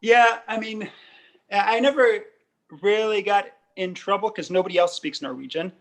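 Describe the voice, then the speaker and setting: A man with an American accent tells stories about his own experiences in a candid, conversational way. His voice sits fairly high for a man and has a marked creak. He slips in joking asides. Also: normal speed, poor audio. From United States. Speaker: embassy spokesman and former Arabic teacher, guest. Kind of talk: conversational personal storytelling.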